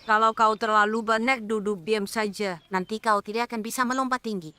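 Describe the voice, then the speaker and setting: A woman's voice: on the high side, steady tone, fairly quick, sharp tone, smooth. A woman voicing a firm, caring mother figure in an Indonesian children's cartoon.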